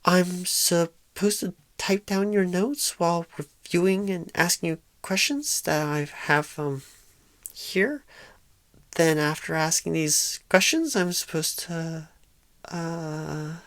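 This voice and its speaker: Casting: woman to play a bashful, anxious new female recruiter for ASMR roleplay, low-pitched, halting and apologetic, recorded close and dry. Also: dry recording. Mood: unsure, hesitant. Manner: stammering, halting, hesitant, apologetic and awkward. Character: shy, nervous, bashful rookie female job recruiter.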